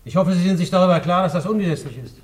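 An actor playing a stern, officious husband in a radio drama, pompous and reproachful. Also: male voice, high-pitched, racing, darkish tone, close to an everyday voice.

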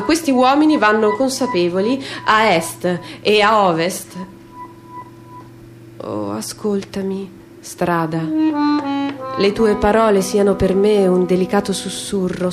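A woman giving a theatrical literary recitation in a measured, declamatory way with dramatic pauses. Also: medium pitch. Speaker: stage reader and performer. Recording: music under the voice. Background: instrumental music.

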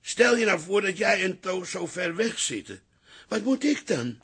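An actor playing a teasing, grumbling older father-in-law in a radio drama, blunt and husky. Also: sits high, big pitch swings, a little slow, exaggerated voice. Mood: soft, pleading. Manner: blunt, sardonic, loud.

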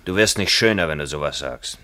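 Gruff, animated, teasing husband. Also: male voice, very deep voice, brisk, exaggerated voice. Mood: dry, needling. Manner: dry, mocking, grumbling. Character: gruff, teasing married man running a filling station. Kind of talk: 1950s German radio drama acting.

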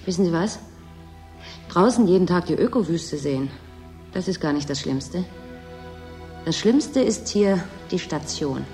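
A woman's voice: lowish voice, expressive, a little slow, pauses often, mellow, soft background music. An actress playing a bored, needy young woman at a desolate station in a German radio drama, restless and resigned. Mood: bitter, pleading.

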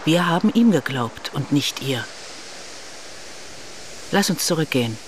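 An actress playing a skeptical police colleague in a radio drama, questioning and reasoning in a measured way. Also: female voice, deep voice, animated. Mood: reasoning, serious. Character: probing, skeptical female senior police colleague. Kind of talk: German radio drama acting. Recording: clear surf-like ambient noise behind.

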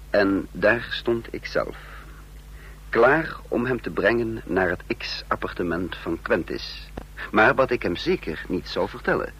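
An actor playing a shrewd secret agent in a radio drama, speaking in a measured, knowing way. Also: male voice, lowish voice, slightly rough, exaggerated voice. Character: shrewd secret agent narrating his own spy scheme. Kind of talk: radio drama narration and acting. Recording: faintly noisy old radio recording.

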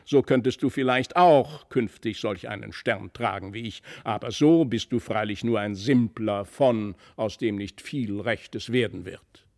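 A man's voice: on the low side, a little slow, few pauses. Smug aristocrat uncle played by male narrator, storybook tale: lofty, patronising, self-satisfied. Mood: smug condescension. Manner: pompous, patronising. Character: condescending, pompous noble uncle, self-satisfied count.

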